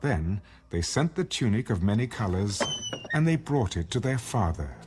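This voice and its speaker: A man's voice: fairly low pitch, sing-song, moderate pace, over music. A man performing as a solemn narrator in a dramatized audio Bible, reading scripted scripture. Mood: solemn narration.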